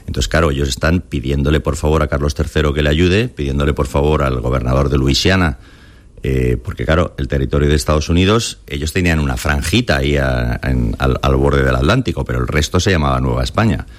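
A man's voice: a bass voice, fairly quick. A man from Spain with a Castilian accent, telling stories colloquially as an interview guest, slipping into imagined quotes. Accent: Castilian Spanish. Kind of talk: conversational storytelling in an interview.

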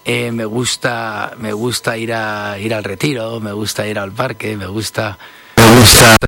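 Interview guest: man in his fifties from Spain, low gravelly voice, halting, repeats himself. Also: flat delivery, very noisy. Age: 50s. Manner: hesitant, repeats words often. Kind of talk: casual interview talk.

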